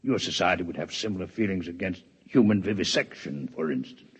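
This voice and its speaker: An actor playing a proud, formal alien male scientist in a 1950s radio drama, with measured, precise diction. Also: sits low, breathy edge. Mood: grave. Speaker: alien doctor in a radio play. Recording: dull vintage radio recording.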